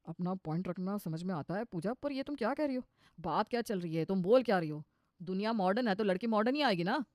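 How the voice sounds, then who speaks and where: A woman's voice: medium pitch, lively intonation, fast, darkish tone, no room sound, voice pushed down, natural voice. Woman doing a grumbly show-off father in law for a cartoon, rattles along, dry studio sound. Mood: indignant. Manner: showy, English-peppered, exasperated. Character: pretentious, grumbling middle-aged father-in-law. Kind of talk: cartoon comedy story dialogue.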